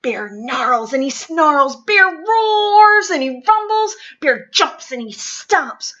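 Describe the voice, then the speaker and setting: A woman's voice: high voice, very animated, takes it slowly, pushed and bright, muffled recording. A woman reading a children's picture book aloud expressively, dropping to whispers at times. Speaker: storybook reader.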